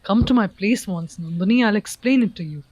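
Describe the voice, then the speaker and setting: An earnest, ominous professor played by a woman in an audio play, medium in pitch. The delivery is declamatory and poetic, philosophical in tone, with a dry, roomless sound. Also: a little fast, a little mellow, dry recording, lightly acted, low-quality recording. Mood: grave warning.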